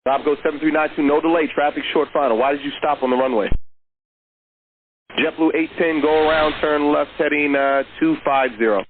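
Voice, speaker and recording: Over a tinny, faintly hissing radio, a man speaks very quickly in a lowish voice. He is an air traffic controller issuing clipped, procedural instructions. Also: fairly even intonation. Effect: radio transmission. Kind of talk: rapid radio instructions in clipped aviation phraseology.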